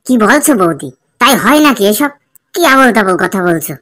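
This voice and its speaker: A woman's voice: medium pitch, sing-song, a little fast, a bit bright. A woman voicing a hesitant, placating young village man in a comedy cartoon. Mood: worried. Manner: questioning, placating.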